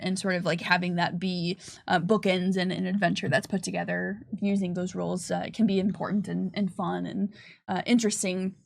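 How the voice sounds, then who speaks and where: A woman's voice: fairly low pitch, creaky, no echo. Woman game dev on a livestream, casual n teasing, pretty dry close sound. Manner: playful, teasing. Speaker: game developer presenting a livestream.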